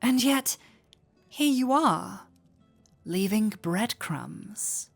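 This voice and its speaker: Slow, teasing fantasy innkeeper played by a woman. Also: medium pitch, lively intonation, vocal fry, soft background music, heavily acted. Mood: flirty, teasing. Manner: playful, coy, teasing, unhurried. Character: flirty, teasing androgynous tavern innkeeper in a fantasy world. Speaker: voice actor in audio roleplay. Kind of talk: intimate fantasy roleplay addressed to the listener.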